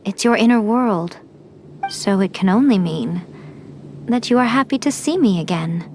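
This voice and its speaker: A woman voicing a sly, teasing immortal fox spirit shrine maiden in an anime fantasy game, with a silky, deliberate delivery. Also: mid-range voice, lively intonation, darkish tone, slight background noise, lightly acted. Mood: gently probing.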